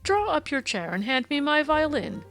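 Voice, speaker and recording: A woman voicing a brisk, courteous Victorian male detective in an audiobook, precise, formal and explanatory in manner. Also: extremely high pitch, big pitch swings, creaky, a bit bright, soft background music, voice pushed up, exaggerated voice. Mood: amused, philosophical.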